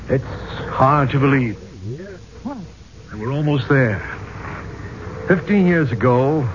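An actor playing an affable but hot-headed archaeologist with a casual, blunt manner in a 1970s radio drama. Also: male voice, medium pitch, close to an everyday voice. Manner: casual, plain-spoken, blunt. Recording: muffled, noisy 1970s radio recording.

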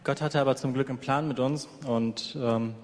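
A man's voice: mid-range voice, steady tone, normal speed. A man working as a live interpreter, relaying a sermon passage by passage in a church. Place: large echoing church hall. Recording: long hall reverb.

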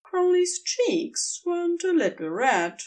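A woman's voice: very high voice, very animated, slow, darkish tone. A woman reading scripted fiction as the narrator, telling a story.

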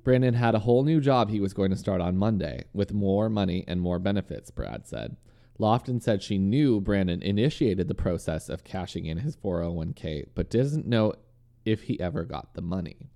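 A man's voice: low-pitched, expressive, normal speed, vocal fry, mellow. A man with a slight lisp co-hosting a podcast, reading a news story aloud between banter and swearing when flustered. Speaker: podcast co-host.

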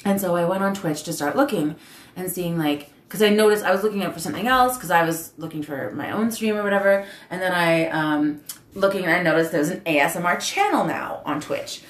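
A woman in casual chat who gets loud and animated when excited. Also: lowish voice, fairly quick. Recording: echoey room.

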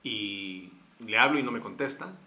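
Bright-voiced Mexican man rambling through a story. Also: lowish voice, expressive, moderate pace, roomy sound. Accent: Mexican Spanish. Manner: rambling, many fillers and restarts. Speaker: guest telling a story. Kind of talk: casual storytelling, slangy.

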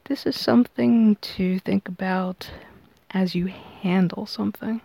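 A woman hosting a tarot reading, explaining it in measured, unscripted phrases. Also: medium pitch, steady tone, vocal fry, warm tone, no room sound. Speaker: tarot reader and podcast host.

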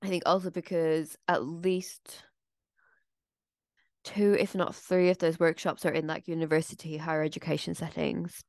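A woman's voice: sits low, little pitch movement, normal speed, a little mellow, no room sound, studio quality. A woman co-hosting a casual podcast, a theatre maker talking through her plans in a thoughtful, explaining way. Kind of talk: casual chat.

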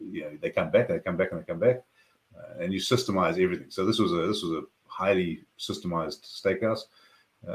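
A man in his forties with a South African accent, a podcast guest explaining his business background in a measured way. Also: low-pitched. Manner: measured, explanatory, sprinkled with "you know". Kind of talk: podcast interview.

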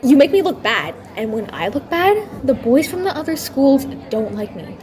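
A woman's voice: sits high, very animated, moderate pace, darkish tone, a faint crowd. A young female student playing a snobbish, scornful, bullying rich schoolgirl in an audio drama. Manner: haughty, scornful.

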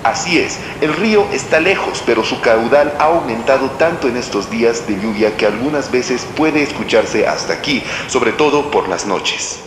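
A man's voice: mid-range voice, animated, moderate pace, few pauses, background noise, natural voice. A man playing an earnest, alarmed street prophet of danger in an audio drama, urgent and insistent in delivery. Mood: grave, explaining. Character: earnest, alarmed male street doomsayer with megaphone. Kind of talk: scripted audio drama acting. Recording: echoey hall reverb, loud music behind.

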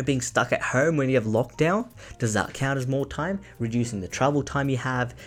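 A young man hosting his own podcast, talking in a rambling, chatty, self-mocking way, often asking "right?" and "you know?". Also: medium pitch. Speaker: podcast host. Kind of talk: casual solo podcast monologue.

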